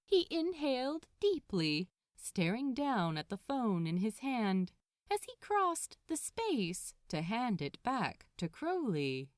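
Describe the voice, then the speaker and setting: Female story narrator, very animated intonation, measured pace, close dry sound. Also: fairly high pitch, dry recording. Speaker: podfic narrator. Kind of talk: expressive scripted fiction narration.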